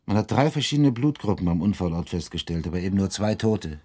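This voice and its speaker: An actor playing a rural police detective in a German radio drama, by turns dryly flirtatious and businesslike. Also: male voice, low voice, animated, fast talker, dark tone, breathy edge. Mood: businesslike, pondering. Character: flirtatious rural police detective, brusque boss, narrator of the tale.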